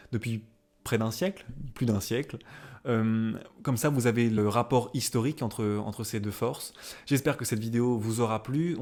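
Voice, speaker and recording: A man's voice: medium pitch, darkish tone. A young man hosting a history video, explaining events casually with many hesitations and fillers.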